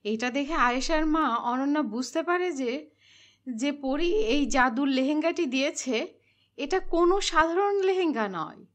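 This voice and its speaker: A woman's voice: high voice, lively intonation, a little slow. A woman giving scripted fairy-tale narration for a cartoon. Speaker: story narrator.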